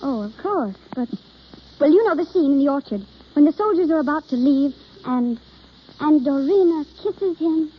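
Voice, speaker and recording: High-pitched, eager young amateur actress. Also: female voice, animated. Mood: earnest, shy. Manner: breathlessly eager, halting, earnest. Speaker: actress in a radio play. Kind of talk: old-time radio drama acting. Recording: dull vintage radio recording.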